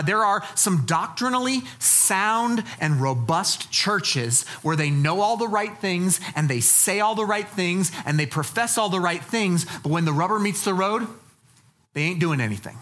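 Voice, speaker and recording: A man's voice: sits high, lively intonation, measured pace, fairly bright tone. Male preacher, American, 40s. Teaches plainly and conversationally, leaning on key phrases with folksy emphasis. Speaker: pastor. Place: church stage, indoors.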